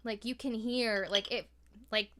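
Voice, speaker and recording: A woman's voice: fairly high pitch, steady tone, a little slow, background noise. A woman speaking as a podcast guest in casual chat, informal and blunt, swearing freely.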